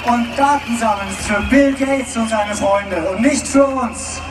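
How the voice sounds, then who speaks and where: A man interpreting a speech live, loud and emphatic, at times searching for words. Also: extremely high pitch, a little slow. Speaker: German interpreter at a rally. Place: outdoor mass rally. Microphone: public address system, recorded from a distance. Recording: distant echoing rally PA with crowd and music.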